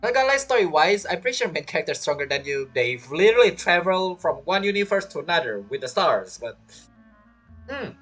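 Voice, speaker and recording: A woman streaming a video game with casual, bantering reaction commentary in a husky, expressive voice. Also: lowish voice, brisk. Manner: expressive, bantering, repeats words. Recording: game music behind voice. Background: video game music.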